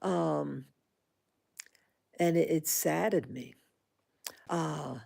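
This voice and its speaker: A woman in her sixties talking into her own vlog, halting and indignant, with frequent restarts and fillers. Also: deep voice, vocal fry, mellow. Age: 60s. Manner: aggrieved, indignant. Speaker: vlogger. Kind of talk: personal monologue vlog.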